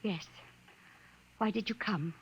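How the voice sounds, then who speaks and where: A woman's voice: mid-range voice, takes it slowly, natural voice. A woman playing a grave, fatalistic young alien native in a 1950s radio drama, speaking formally and earnestly. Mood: resigned, fearful. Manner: formal, simple, earnest phrasing. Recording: dull, noisy vintage radio recording.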